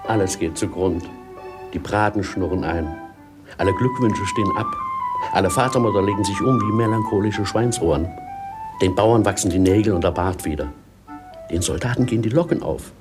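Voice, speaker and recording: An actor playing a droll, officious master of ceremonies in a 1950s radio play, with a dry declamatory manner. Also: male voice, on the low side, normal speed, music in the background, close to an everyday voice. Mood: mock lamenting.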